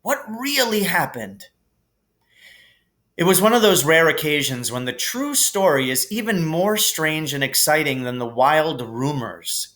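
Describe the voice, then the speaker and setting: A man's voice: on the high side, expressive, normal speed, hardly any pauses, fairly bright tone. A man reading a children's novel aloud in scripted storybook narration. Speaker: reader. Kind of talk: audiobook-style storybook narration.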